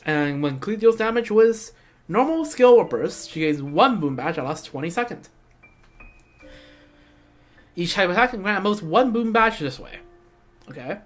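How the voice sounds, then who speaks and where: Casual streamer commentary: mid-pitched voice, halting, offhand, slangy, with game music clearly playing behind. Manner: halting, repetitive, offhand asides with gamer slang. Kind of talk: casual live gameplay commentary.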